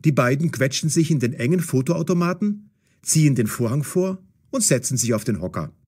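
A man narrating a scripted audio story as storyteller, with a husky voice. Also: mid-range voice, expressive, a little fast, darkish tone.

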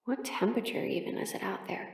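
A woman acting a sleepy, affectionate partner in an intimate audio roleplay, muttering softly with whispers and sighs. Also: fast talker, roomy sound, recorded from a distance, natural voice. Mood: drowsy, grumbling. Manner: soft, drowsy, muttering to herself with whispers and sighs. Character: sleepy affectionate partner awake in cold bed, playfully grumbling.

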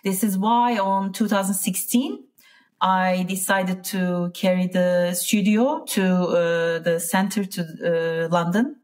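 A woman's voice: lowish voice, steady tone, slow pace, darkish tone. A woman from Turkey with a Turkish accent, talking casually as an interview guest and often searching for words. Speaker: interview guest, piano teacher.

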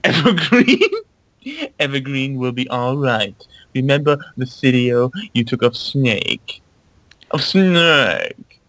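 A man's voice: medium pitch, very animated, slow pace, a bit bright, dry recording, heavily acted, rough recording. Brash demanding teen boy wizard, hammy and crude, a man reading it out; dry sound. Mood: mock-tender. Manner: mock-dramatic, crude.

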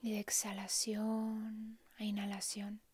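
A woman softly narrating a guided meditation in a hushed, calm, breathy way, leaving long pauses. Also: medium pitch.

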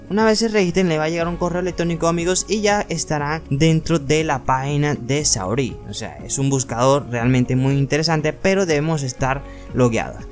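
A man with a Venezuelan accent narrating an informal screen tutorial, explaining step by step and addressing viewers as friends. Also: fairly high pitch. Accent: Venezuelan Spanish. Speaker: tutorial channel host. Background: background music.

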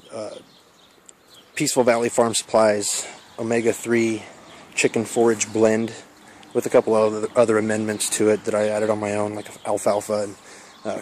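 Man, low voice, flat delivery, casual garden walk-through, handheld camera mic, faint outdoor noise. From Iowa. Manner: relaxed, rambling, many fillers. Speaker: permaculture vlogger. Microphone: handheld camera mic while walking.

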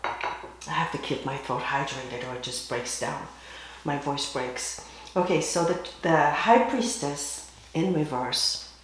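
A woman giving a tarot card reading while sick and losing her voice, pausing to clear her throat and cough. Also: sits low. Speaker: tarot reader. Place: indoors at a table with candles and crystals. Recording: very echoey room.

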